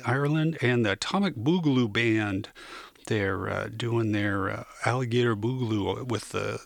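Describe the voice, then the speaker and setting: Radio host, male, North American accent. Creaky mid-range voice, informal halting patter, dry close studio sound. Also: medium pitch, no room sound. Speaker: radio music show host. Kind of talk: casual unscripted radio back-announcing.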